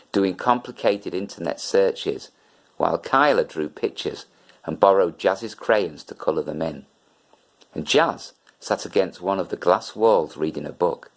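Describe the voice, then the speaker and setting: Male narrator for a children's book, very low voice with lively, wide-swinging intonation. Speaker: audiobook reader.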